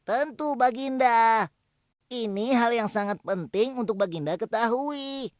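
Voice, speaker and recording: A woman's voice: fairly high pitch, measured pace, no room sound, close to an everyday voice. A woman voicing a humble, eager hoopoe bird messenger in a cartoon, speaking deferentially. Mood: reporting. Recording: dry with faint music.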